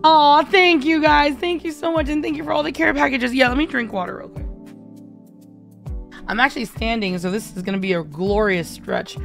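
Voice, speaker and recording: Hoarse, high-voiced woman streamer. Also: normal speed. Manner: bubbly, giggly, thanking viewers. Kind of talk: singing a ballad then casual chat with viewers. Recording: faint piano backing music. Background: soft piano backing during the song.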